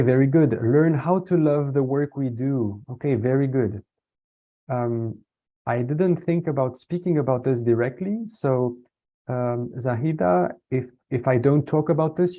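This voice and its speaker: A man from France giving a casual online talk, relaxed and hesitant, with many fillers and restarts. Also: mid-range voice. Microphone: computer mic on a video call. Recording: dull lo-fi video call audio.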